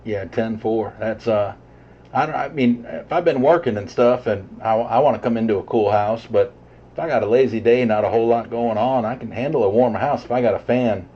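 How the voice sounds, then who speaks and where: A man chatting casually over CB radio in a halting, low-key way. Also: slightly rough. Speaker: CB radio operator. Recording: dull, muffled CB radio signal.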